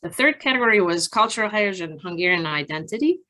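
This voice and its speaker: A woman researcher giving an academic presentation from slides in a measured, halting, explanatory way with frequent pauses. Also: mid-range voice, lively intonation, pushed and bright. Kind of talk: conference research presentation, partly improvised from slides.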